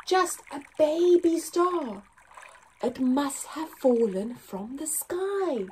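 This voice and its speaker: A young woman reading a picture story aloud for small children, expressively, with deliberate pauses and emphasis and a slightly husky voice. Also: sits high, lively intonation, darkish tone, slight background noise. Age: young adult. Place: indoors, small room with painted backdrop.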